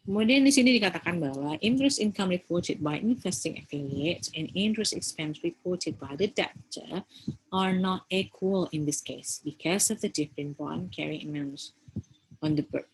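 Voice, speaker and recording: A woman's voice: on the low side, normal speed, slight background noise, poor audio. A woman with an Indonesian accent giving an informal recorded lecture, explaining step by step with English terms mixed in and frequent checking tags. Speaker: accounting lecturer.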